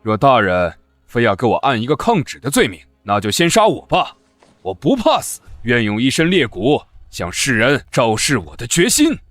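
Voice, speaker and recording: An actor playing a proud, righteous, unbending scholar in a historical comic drama, oratorical and haughty. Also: male voice, medium pitch, wildly swooping pitch, normal speed, fairly bright tone, dry recording, faint music behind. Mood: righteous, defiant.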